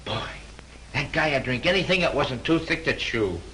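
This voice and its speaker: Slangy, dim would-be sleuth. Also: male voice, medium pitch, expressive, moderate pace, pushed and bright, slight background noise. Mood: eager. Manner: slangy, eager, tough-guy talk. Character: dim-witted studio guard, eager amateur sleuth. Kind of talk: 1930s film acting.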